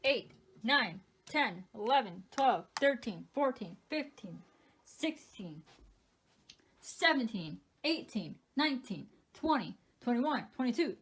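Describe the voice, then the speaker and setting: A chatty young woman with a fairly high voice talks through her collection in a relaxed home vlog. She counts items aloud one by one, easygoing and informal. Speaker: weather radio collector. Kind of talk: casual show-and-tell vlog.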